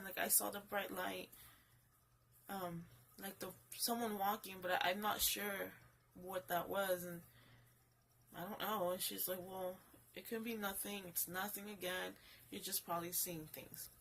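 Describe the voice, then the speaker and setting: A young woman talks into her phone at home, telling a story in a loose, rambling way full of fillers and casual swearing. Her voice is bright and a little raspy because she is coming down with a cold. A faint hiss sits under the recording. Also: medium pitch. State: getting sick, slightly raspy. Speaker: vlogger. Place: home, alone. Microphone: phone camera.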